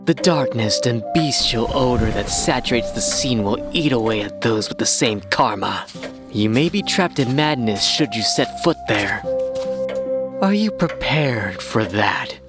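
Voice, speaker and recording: A woman playing a sly, mysterious and playfully clueless gentleman in a manga dub, with florid, teasing speech. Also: extremely low pitch, expressive, unhurried, over loud music. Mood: ominous. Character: sly, languid, mysterious male aristocrat's associate, playfully clueless. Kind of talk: scripted anime-style manga dub acting.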